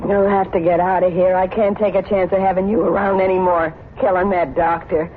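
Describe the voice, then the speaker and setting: A woman acting a wary, sharp-spoken woman confronting a fugitive, curt and urgent in an old radio suspense style. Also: lowish voice, little pitch movement, fairly quick, natural voice. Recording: tinny, faintly noisy old radio recording.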